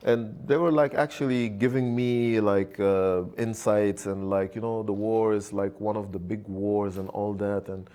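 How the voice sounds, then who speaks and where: A young man raised in Lebanon, a podcast guest telling his story in a relaxed, hesitant way with many fillers. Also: lowish voice, measured pace, hardly any pauses, clean tone. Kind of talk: casual interview storytelling.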